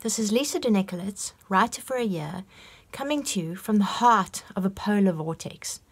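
A woman's voice: mid-range voice, expressive, normal speed, slightly rough. A middle-aged woman hosting her own vlog, talking chattily into a phone held at arm's length, with wry asides. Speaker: vlog host and author. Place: home room with bookshelves, then a large community hall.

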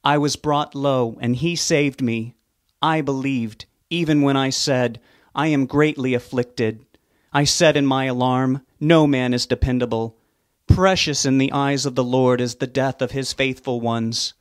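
A solemn funeral officiant, as a man reads him intones scripture slowly and carefully. His voice is somewhat raised and grave. The recording is dry and close. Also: mid-range voice, steady tone, no room sound, pitched above the performer's own voice, close to an everyday voice. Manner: slow, solemn reading of scripture.

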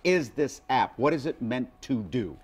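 Lively middle-aged man news anchor. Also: medium pitch, unhurried, slightly rough. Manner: joking, lively anchor banter. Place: TV news studio.

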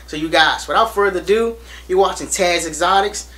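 A young American man vlogging to camera in a small room, hyped and animated, repeating himself as he urges viewers to come. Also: high voice, brisk. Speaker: reptile channel vlogger. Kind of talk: casual to-camera promo vlog. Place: small indoor room against a plain wall.

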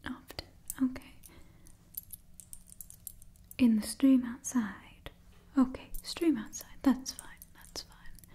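A woman in her twenties whispering close to the microphone in a slow, hushed ASMR style, softly repeating words. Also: on the high side, steady tone. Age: 20s. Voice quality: whispery, breathy. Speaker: ASMR host. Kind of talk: ASMR role-play and sponsor read.

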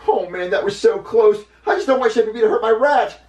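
A man's voice: sits high, brisk, a little mellow, voice pushed up, natural voice. A man voicing an anxious, whiny young boy puppet who stammers and pleads as he tells fibs. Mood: panicked. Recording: roomy, distant mic with faint noise.

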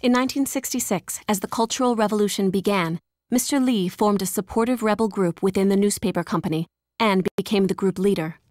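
A woman reading scripted documentary narration in an even, informative way. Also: mid-range voice, a little fast, few pauses, no echo, clean studio sound.

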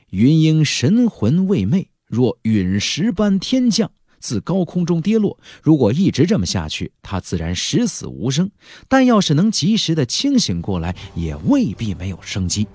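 A man's voice: medium pitch, a little mellow. A man narrating a fantasy novel as a dramatic audiobook, theatrical and emphatic, drawing out key words.

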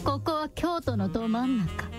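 A woman's voice: high-pitched, lively intonation, moderate pace, music in the background. A woman delivering dramatic, scripted narration for a radio drama.

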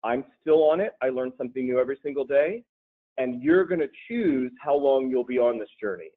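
A male high school language teacher talking on a panel, with wry, self-deprecating humor. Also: expressive, a little fast. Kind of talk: casual panel discussion. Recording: tinny phone-like video call audio.

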